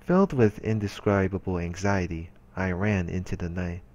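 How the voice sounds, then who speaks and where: Low-voiced man narrating a visual novel. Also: fairly even intonation, normal speed, darkish tone. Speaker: let's player.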